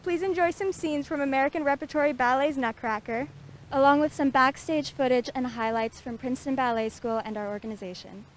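A woman's voice: sits high, a little fast, slight background noise, rough recording. A young woman ballet dancer introducing herself politely at a handheld mic on an outdoor street set.

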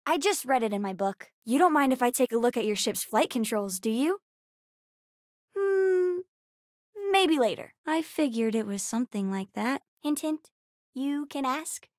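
A woman voicing a bright, curious, bookish young girl in a video game, speaking in an eager, earnest and cheeky way. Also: high-pitched, lively intonation, measured pace, dry recording, studio quality. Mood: eager, chatty. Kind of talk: video game character voice lines.

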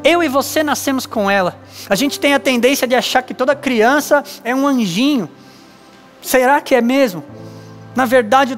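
A man in his thirties with a Brazilian Portuguese accent, a pastor giving an earnest, measured sermon with emphatic pauses on a church stage. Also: extremely high pitch, fairly bright tone. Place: dark church stage with lights, indoors.

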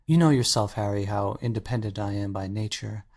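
An actor playing an earnest, anxious painter in a dramatic audiobook, speaking intensely and articulately. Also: male voice, on the low side, a little fast, a little mellow. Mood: fervent, troubled. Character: earnest, anxious male painter confessing his obsession.

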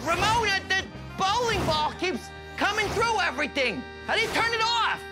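A man's voice: extremely high pitch, expressive, moderate pace, over music, pitched above the performer's own voice. A man playing a quirky, nerdy shop salesman, explaining in a comic, theatrical and scatterbrained way for children's TV. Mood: exasperated. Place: cluttered shop set, indoors.

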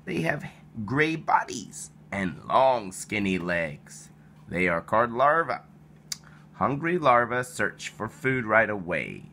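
A man reading a children's picture book aloud in an expressive storytelling style, animated and playful. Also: lowish voice, takes it slowly, slight background noise. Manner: animated, with playful exclamations and sound effects.

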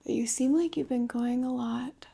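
A woman's voice: fairly high pitch, normal speed, gravelly, warm tone, slightly rough. A woman in her twenties doing an ASMR roleplay in a soothing, whispery close-up murmur. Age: 20s. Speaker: ASMR performer. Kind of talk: ASMR roleplay, soft slow intimate talk. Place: living room, close to the camera.

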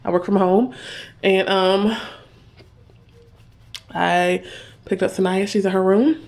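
A woman's voice: medium pitch, fairly even intonation, slow, darkish tone. A young woman vlogging at home, rambling chattily to her viewers while fighting a cough, filmed on a phone held at arm's length. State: coughing with a sore throat. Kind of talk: casual haul vlog. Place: small room at home, indoors. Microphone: handheld phone camera at arm's length.

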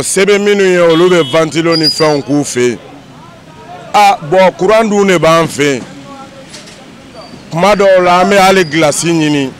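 A young man with a slightly raised voice answers a street reporter's question outdoors. He speaks earnestly into a handheld mic that is held out to him. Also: fairly high pitch. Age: young adult. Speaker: street interviewee. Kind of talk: vox pop answer. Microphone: handheld mic held by interviewer.

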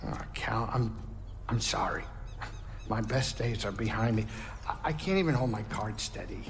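A man playing an aging, gruff but warm-hearted alien pilot in a video game, with a folksy, expressive delivery. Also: on the low side, moderate pace, in a large hall, slight background noise, natural voice. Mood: downcast, touched. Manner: hesitant, expressive, informal. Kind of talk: video game cutscene acting.

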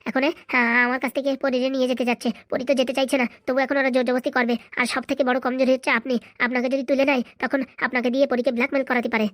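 A woman's voice: high voice, level tone, dry recording, clean studio sound, natural voice. A woman voicing an urgent, protective young man in a cartoon. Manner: urgent, hurried. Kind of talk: cartoon voice acting.

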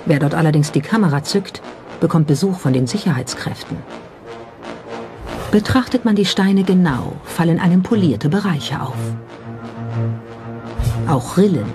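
Documentary voiceover, mid pitch, measured and explanatory, music playing behind. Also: lively intonation. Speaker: documentary narrator. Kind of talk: scripted documentary narration. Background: clearly audible background music.

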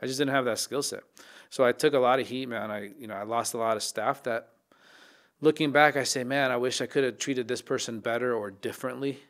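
A man's voice: medium pitch, steady tone, a little fast, a bit bright. Reflective man on a podcast mic. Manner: reflective, many restarts. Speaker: chef, podcast guest. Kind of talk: casual interview talk. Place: dark podcast studio. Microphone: studio mic on boom arm.

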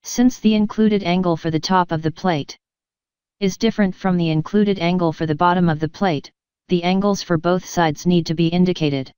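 Clear, low-pitched woman teaching steadily. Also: no room sound. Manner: measured, even explanatory delivery. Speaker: instructor. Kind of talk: scripted technical narration.

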